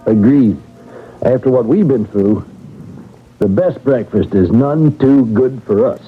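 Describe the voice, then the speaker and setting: An actor playing an uneasy, practical railroad worker in a radio mystery drama, hesitant and a little evasive. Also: male voice, medium pitch, expressive, slow pace, music in the background. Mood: tired. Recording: narrowband old radio broadcast, faint hiss.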